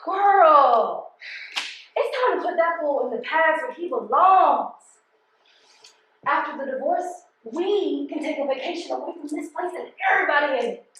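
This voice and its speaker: A woman's voice: high-pitched, animated, normal speed, fairly bright tone. A woman playing a sassy, blunt, fun-loving postal carrier on stage, with a teasing manner. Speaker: stage actress. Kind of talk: live stage play acting, colloquial dialogue. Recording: echoey stage room with faint noise.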